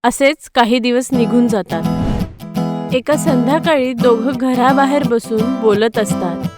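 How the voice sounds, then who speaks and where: A woman's voice: a little high, unhurried, loud music behind the voice. A woman storyteller reading scripted narration for a children's animal story.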